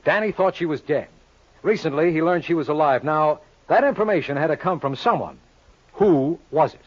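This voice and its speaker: A man playing a tough, sardonic, wisecracking lawyer-sleuth in an old-time radio crime drama, with a brisk, sarcastic manner. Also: a little high, lo-fi sound, close to an everyday voice. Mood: insistent, confident. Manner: sarcastic, brisk, thinking out loud.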